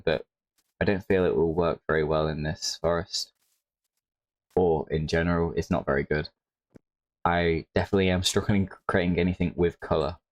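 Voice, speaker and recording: A man with a very low voice and a slight hoarseness talks through his gameplay in a relaxed, rambling way, often adding "like" and correcting himself. He sounds close to the mic in a dry room. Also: dry recording. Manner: rambling, self-correcting, with frequent "like". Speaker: Minecraft creator. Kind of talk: casual gameplay commentary.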